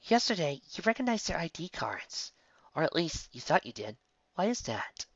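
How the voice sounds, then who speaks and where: A man's voice: fairly high pitch, big pitch swings, moderate pace, slightly rough, no echo, muffled recording, voice pushed up, heavily acted. A man voicing a caring, bookish young female pony who worries about her friends in a fan fiction reading. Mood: gently concerned.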